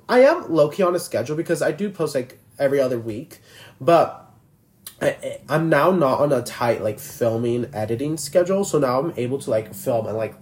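Twenty-year-old male podcast host, rambling and filler-heavy, voice swooping up and down. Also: medium pitch. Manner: rambling, filler-heavy, restarts sentences constantly. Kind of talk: casual solo podcast chat.

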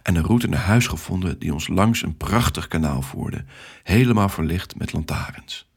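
A male storyteller with a lowish, husky voice narrates a children's tale in the first person. The delivery is scripted storytelling, told as the main character's own account. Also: expressive, moderate pace.

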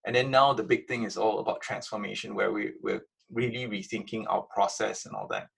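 A man with a raspy, creaky voice answering interview questions in an informal, conversational way, often checking in with the listener. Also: fairly low pitch, fairly even intonation, brisk, a bit bright, low-quality recording. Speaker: interview guest, museum innovation director.

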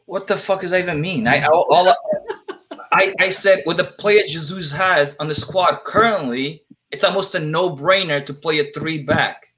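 A man with a non-native English accent chatting about sports on a podcast, excitable, swearing and restarting his sentences. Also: fairly high pitch, moderate pace, low-quality recording. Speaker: podcast panelist. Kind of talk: casual sports chat.

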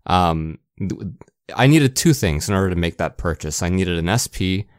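A man's voice: low voice, animated, normal speed, no echo, clean studio sound. A man co-hosting a gaming podcast in casual, informal chat with a wry way of talking. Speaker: podcast co-host. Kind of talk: casual podcast chat about video games.

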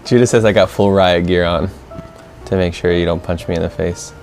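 A man's voice: deep voice, steady tone, brisk, a little mellow, faint music behind. A young man co-hosting a podcast, chatting casually, teasing and laughing often. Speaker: podcast co-host.

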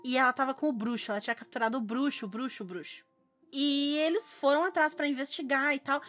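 Female podcast co-host, southern Brazilian accent. High pitch, casual animated storyteller, slangy, music bed. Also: fast. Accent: southern Brazilian Portuguese from Rio Grande do Sul. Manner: animated anecdote telling with slangy speech.

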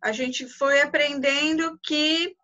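Higher-voiced Brazilian woman, muffled audio. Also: fairly even intonation, takes it slowly, sharp tone. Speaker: tour guide. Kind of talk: conversational interview answers.

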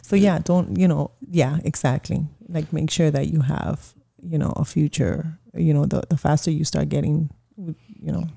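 A woman's voice: low voice, fairly even intonation, moderate pace, gravelly, hardly any pauses, mellow, husky. A woman in her forties, a small business owner, chatting casually and rambling with lots of fillers in an interview. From Colombia.

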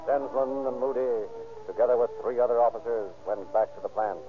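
An actor as the formal, factual narrator of a scripted 1930s radio crime drama. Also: male voice, mid-range voice, steady tone, brisk, natural voice. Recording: tinny old radio recording, music behind. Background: music.

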